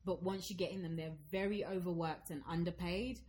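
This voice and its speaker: A woman in her thirties from Zambia with an English accent, a guest telling her story in a lively way and acting out what people said. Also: on the low side, fairly quick. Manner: lively storytelling, acts out quoted speech. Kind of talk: casual conversation.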